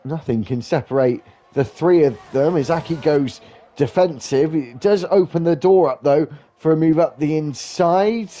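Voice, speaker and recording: A man's voice: fairly high pitch, warm tone. Stuffy-nosed man calling a race. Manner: brisk play-by-play, rising with overtakes. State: stuffy nose from hay fever. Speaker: lead race commentator. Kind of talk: sim racing play-by-play commentary.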